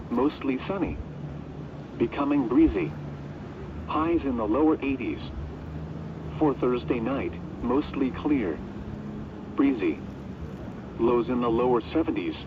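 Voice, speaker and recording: A synthetic American man's voice reads a scripted weather bulletin over the radio in measured, impersonal, clipped phrases. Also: medium pitch. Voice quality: synthetic text-to-speech voice. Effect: weather radio broadcast. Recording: muffled weather radio, clear background noise.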